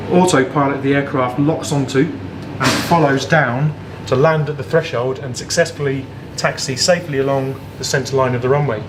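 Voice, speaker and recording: A man explaining technical equipment in a clear-cut presentation as an engineering head. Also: medium pitch. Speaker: head of air traffic engineering. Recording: loud equipment and air-conditioning hum.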